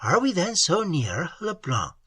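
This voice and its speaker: A reader voicing a troubled gentleman traveller who speaks formally and gravely. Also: sits high, huge pitch swings, a little slow, dry recording, studio quality, pitched above the performer's own voice, heavily acted. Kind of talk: audiobook character reading.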